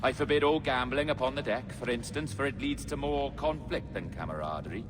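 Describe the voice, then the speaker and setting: An actor playing an enigmatic male pirate captain in a video game cutscene, measured and cultured. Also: medium pitch, noisy background, lightly acted. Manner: measured, cultured, quietly cryptic.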